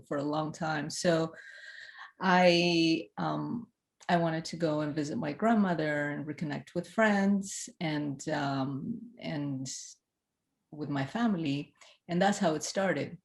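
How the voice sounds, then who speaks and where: A low-pitched woman answers questions in a festival Q&A over a video call. She grew up in Ethiopia and speaks in a thoughtful, hesitant way, often pausing on fillers and restarting her sentences. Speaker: film director. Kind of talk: recorded festival Q&A.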